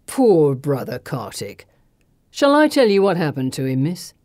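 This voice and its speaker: A knife-wielding male villain, performed by a woman narrator, pitched well below her usual voice. He drawls his threats with a taunting sneer, in a close, dry audiobook recording. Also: very animated, a little slow, darkish tone, no echo. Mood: cruel gloating. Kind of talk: audiobook character voice.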